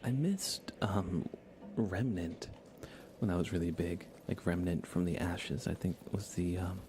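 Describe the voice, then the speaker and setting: A man giving soft-spoken, relaxed and unhurried ASMR commentary while he plays a video game live. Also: low voice, background noise. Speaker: livestreamer playing a video game.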